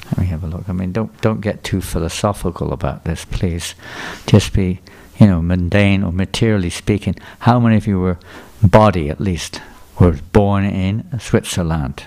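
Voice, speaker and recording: A man's voice: low-pitched, normal speed, creaky, hardly any pauses, a little mellow. An older man gives a sermon lecture to a temple audience, asking people questions and repeating their answers back to them. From England. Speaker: visiting speaker at a temple. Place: temple hall before a live audience.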